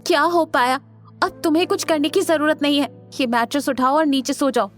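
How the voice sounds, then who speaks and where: A woman's voice: sits high, fairly even intonation, a little fast, faint music behind, lightly acted. A woman playing a fiery, defiant young wife in an audio drama, speaking in an indignant, confrontational way. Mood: cold annoyance. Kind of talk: Hindi audio drama acting.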